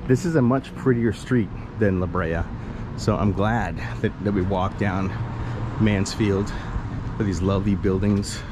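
A man in his forties rambling and joking through a casual walking tour vlog, filmed on an action camera held at arm's length outdoors. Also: sits low. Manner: rambling, jokey, chatty self-talk. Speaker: vlogger. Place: outdoors on a sunny residential street. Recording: outdoor street noise. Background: street ambience and passing cars.

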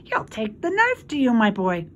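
A storybook rat played by a woman, smug, greedy and spiteful. He talks in a hoarse, snarling drawl over clear background noise. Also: a little high, huge pitch swings, moderate pace, a bit bright. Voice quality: husky, hoarse. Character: gluttonous, smug, spiteful old rat, male.

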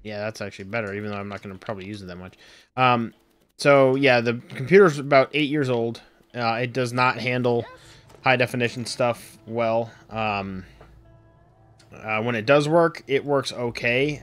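A man in his thirties with a raspy, sore voice, rambling through casual live-stream commentary at home with lots of ums. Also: mid-range voice, moderate pace, fairly bright tone, faint music behind. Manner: rambling, full of ums and uhs. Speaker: game streamer.

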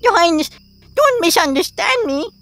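A woman's voice: very high voice, sing-song, normal speed, fairly bright tone, dry recording, heavily acted. A woman voicing a small, nervous but clever male hare in a cartoon, stammering and deferential. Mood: nervous, respectful. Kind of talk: cartoon voice acting.

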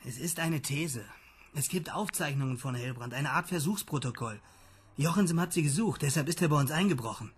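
A man's voice: a little high, lively intonation, fairly quick, a little hiss or hum, natural voice. An actor playing an earnest, insistent young male investigator in a radio drama, reasoning things out.

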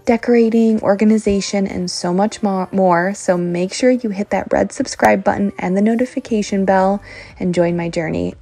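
A woman's voice: medium pitch, vocal fry, dark tone. A young woman narrating her cleaning vlog in a chatty, encouraging voice-over. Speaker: vlogger.

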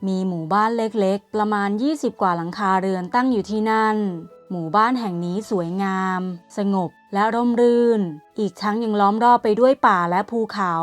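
A woman storyteller reading a scripted bedtime tale for children. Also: mid-range voice. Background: music.

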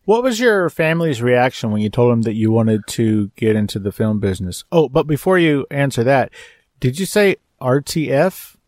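A man's voice: medium pitch, very animated, normal speed, a little mellow, no echo. Man hosting a podcast, dry close sound. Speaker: podcast host. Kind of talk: interview and scripted episode introduction.

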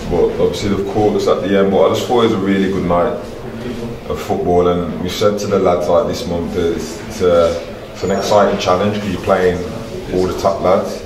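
A middle-aged man with a deep voice gives a casual post-match interview as a team manager. He talks colloquially and repeats phrases as he goes. The sound is roomy, like an indoor corridor, with chatter in the background. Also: fairly even intonation, moderate pace. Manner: colloquial, repeats phrases, addresses listener as mate. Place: indoor stadium corridor with bare block walls. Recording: roomy, distant mic, crowd chatter behind.

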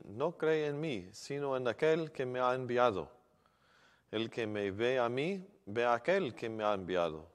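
A middle-aged priest reads the Gospel at a church lectern in a measured, steady manner. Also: male voice, medium pitch. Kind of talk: reading the Gospel at Mass. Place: large church, at a wooden lectern. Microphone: lectern microphone. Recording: long church reverb.

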